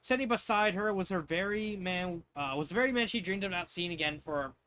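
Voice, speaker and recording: High-pitched, bright-voiced male story reader. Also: brisk, a little hiss or hum. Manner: expressive, stumbles over words. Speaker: narrator, fan fiction reader. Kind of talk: amateur storybook narration with asides.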